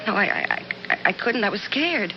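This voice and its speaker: An actress playing an anxious, insistent wife at a snowbound cabin, stammering hesitantly in a 1970s radio drama. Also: female voice, mid-range voice, sing-song, moderate pace. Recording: muffled, noisy 1970s radio recording.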